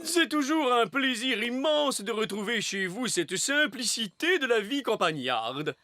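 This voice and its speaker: Haughty, animated cartoon fairy queen. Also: fairly high pitch, measured pace, no echo. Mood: condescending. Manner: pompous, refined. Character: haughty, condescending visiting fairy royal. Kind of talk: French cartoon dub acting.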